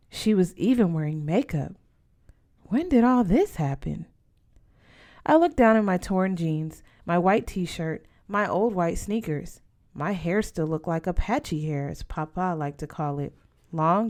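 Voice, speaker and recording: A woman's voice: on the low side, a little mellow. A woman reading a children's novel aloud as scripted narration. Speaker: audiobook reader.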